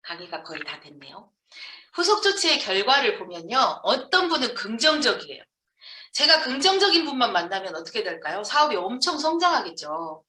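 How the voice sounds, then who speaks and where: A woman's voice: a little high, sing-song, fairly quick, sharp tone, slight background noise. A woman from Jeonju, South Korea, giving a motivational business training lecture to an audience, emphatically posing questions and answering them herself. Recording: echoey room, distant microphone.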